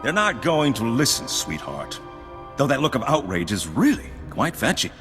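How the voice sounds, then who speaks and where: An actor playing a smug, taunting gambler and double agent in a video game, with a mocking, condescending way of talking. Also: male voice, mid-range voice, wildly swooping pitch, normal speed. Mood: smug mockery. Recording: long hall reverb under loud music. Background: loud game music.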